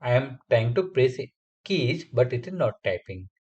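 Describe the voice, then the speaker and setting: A man walks through a coding lesson step by step, with lively intonation. His voice is a little husky. The audio sounds slightly dull and lo-fi. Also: mid-range voice, normal speed. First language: Hindi. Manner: explains step by step, methodical. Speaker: software testing instructor. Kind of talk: screen-recorded coding tutorial.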